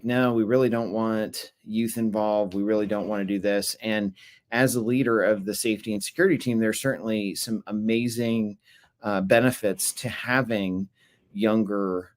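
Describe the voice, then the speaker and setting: Man, webinar host, casual talk, lower pitch, losing his voice, halting with restarts. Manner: halting, many fillers and restarts.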